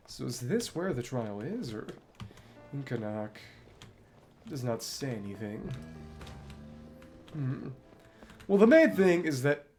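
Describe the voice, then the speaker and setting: A man's voice: medium pitch, darkish tone. A man giving casual gameplay commentary as a streamer, thinking aloud and reading game text out as he plays. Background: game music.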